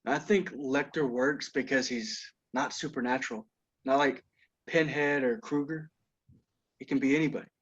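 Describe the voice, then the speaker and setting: A muffled video-call recording of a man acting a cocky young guy obsessed with horror. He has a mid-pitched voice and a slyly menacing edge, as in a film script read-through. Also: steady tone, normal speed, lightly acted. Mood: admiring, eerie calm.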